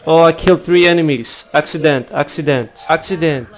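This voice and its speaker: A man's voice: fairly high pitch, brisk, highs cut off, slight background noise. A young man hosting a gaming video, teasing and provoking teammates over voice chat with mock-friendly sarcasm. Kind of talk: trolling voice chat during an online shooter match.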